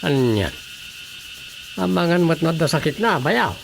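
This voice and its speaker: Gravelly ilocano know-it-all everyman, radio comedy drama, emphatic explainer, faint insect noise. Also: on the high side, very animated, a little slow, heavily acted. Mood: surprised, prodding.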